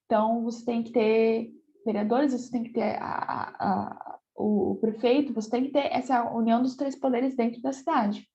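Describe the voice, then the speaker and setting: A woman gives a geography lesson in a somewhat high, mellow voice that is slightly hoarse from a cold. She hesitates often, repeating small words and checking in with her students. The sound is muffled and lo-fi. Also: fairly even intonation, moderate pace. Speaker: geography teacher. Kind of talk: online class lecture.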